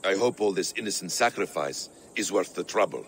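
A man playing a grave, watchful middle-aged assassin in a video game, with a measured, formal, brooding manner. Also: sits low, expressive, slight background noise. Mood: somber. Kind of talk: dramatic video game cutscene acting. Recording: reverberant hall with music behind.

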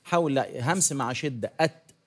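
A man's voice: mid-range voice, fairly quick, slightly rough. A man judging a recitation contest, giving friendly, detailed feedback and demonstrating sounds. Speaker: competition judge. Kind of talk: spoken critique.

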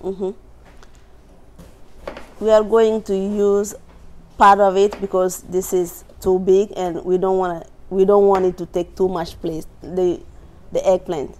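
Mellow West African woman teaching cooking. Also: medium pitch, flat delivery, unhurried, long pauses. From Burkina Faso. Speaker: cooking instructor. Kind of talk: live cooking demonstration. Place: large indoor teaching kitchen.

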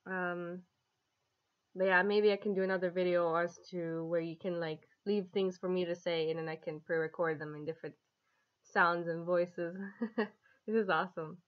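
A woman in her twenties talks casually to camera as she shows off an app, amused and giggly. Her voice sits a little low for a woman, and the sound is dull and lo-fi, like a webcam in a living room. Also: fairly even intonation, normal speed. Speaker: app reviewer. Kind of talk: casual vlog chat to camera. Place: small home living room, indoors.

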